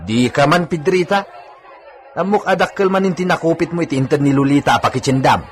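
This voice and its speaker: A man's voice: fairly high pitch, fairly quick, faint music behind, lightly acted. A male actor in a radio drama playing a hard-drinking hacienda worker who wheedles and nags. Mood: wheedling, insistent.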